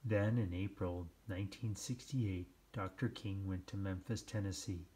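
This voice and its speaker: A man narrates a children's book in a fairly low voice. He takes it very slowly, with deliberate phrasing and dramatic pauses between short phrases. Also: fairly even intonation, dark tone. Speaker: storybook reader. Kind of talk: scripted children's read-aloud narration.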